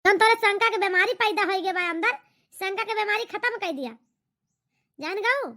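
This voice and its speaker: A very high, bright voice acting a nagging, emphatic village woman with an Awadhi accent in a cartoon comedy. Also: normal speed, exaggerated voice. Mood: scolding. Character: scolding village Indian women of a cartoon family.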